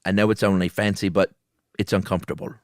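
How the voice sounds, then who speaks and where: A man's voice: low-pitched, little pitch movement, fast talker, no room sound, studio quality, lightly acted. Man acting a nervous man in a haunted house. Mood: anxious.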